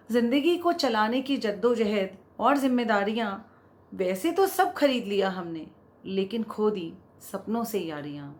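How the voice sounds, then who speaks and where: Slow-paced woman reciting poetry. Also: a little high. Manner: deliberate, repeats lines for emphasis. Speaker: poet.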